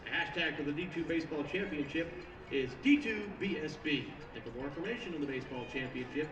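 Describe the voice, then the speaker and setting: A man's voice: fairly high pitch, quick pace, over music. A man reading a scripted promotional announcement as a public-address announcer. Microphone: picked up from afar. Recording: echoey, muffled, distant from microphone.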